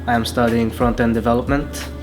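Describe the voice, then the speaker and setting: A man in his twenties walking through an app demo in a plain, halting way. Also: mid-range voice, level tone, roomy sound, over music. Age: 20s. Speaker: student presenter.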